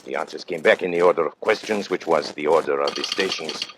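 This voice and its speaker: Man acting as grave storyteller, low-pitched, formal, measured radio mystery narration, faint noise. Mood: grave, suspenseful.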